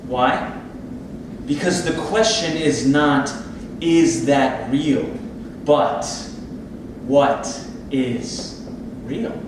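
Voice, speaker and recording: Male actor as boastful surgeon husband, slightly high voice, theatrical salesman's patter, recorded in a very echoey room. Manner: rhetorical, self-assured, salesman-like. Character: smug, ambitious plastic surgeon husband, boastful about his work. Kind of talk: theatrical monologue at a staged play reading. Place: indoor theatre space, distant microphone. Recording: echoey, very noisy room from far off.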